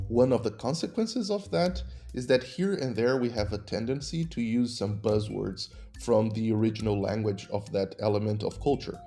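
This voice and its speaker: Brazilian man explaining law calmly. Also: medium pitch. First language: Portuguese. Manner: measured, didactic. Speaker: podcast host and human rights lawyer. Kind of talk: scripted explanatory narration.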